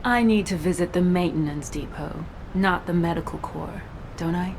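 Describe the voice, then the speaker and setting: An actress playing a stoic, dutiful cyborg soldier commander, composed and earnest, in a video game. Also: female voice, fairly low pitch, moderate pace, creaky, slight background noise. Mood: resigned, resolute.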